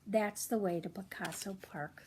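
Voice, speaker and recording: A woman's voice: mid-range voice, unhurried, darkish tone, breathy edge. An older woman reading a children's chapter book aloud expressively, slipping in chatty little asides to young listeners. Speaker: children's read-aloud host.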